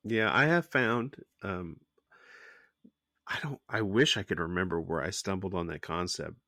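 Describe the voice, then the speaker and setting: A very low-voiced man hosting a casual podcast. He is stuffy from a sinus infection and talks with chatty enthusiasm. His voice sounds dry and close to the mic. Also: moderate pace, sharp tone, no room sound. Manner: enthusiastic, chatty, laughs often. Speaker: podcast host.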